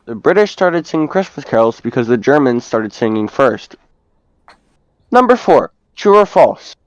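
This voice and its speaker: A man's voice: medium pitch, very animated, normal speed, lo-fi sound. A young man presenting from a script in the manner of a quiz host. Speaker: student co-presenter and quizmaster.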